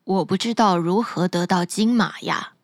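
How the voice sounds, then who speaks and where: A woman voicing a gentle, kind-hearted young prince in a bedtime story, speaking earnestly. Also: on the low side, moderate pace, no room sound, clean studio sound, voice pushed down. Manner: earnest, pleading.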